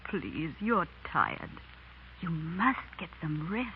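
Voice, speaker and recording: An actress playing a worried, tender wife, pleading gently in 1930s radio drama style. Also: female voice, on the low side, sing-song, slow. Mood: concerned, pleading. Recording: tinny, hissy 1930s radio recording.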